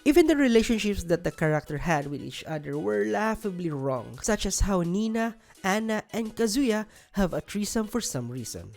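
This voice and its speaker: A woman's voice: medium pitch, big pitch swings, normal speed, vocal fry, hardly any pauses, a little mellow. A woman narrating scripted countdown commentary in an opinionated, sardonic, emphatic way. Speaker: video narrator. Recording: music bed under voice-over. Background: background music.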